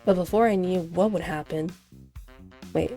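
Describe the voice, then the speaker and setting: A woman reading a visual novel aloud as expressive narration, in the manner of a game player acting out a story. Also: lowish voice, moderate pace, soft background music. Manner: acts out character lines while narrating.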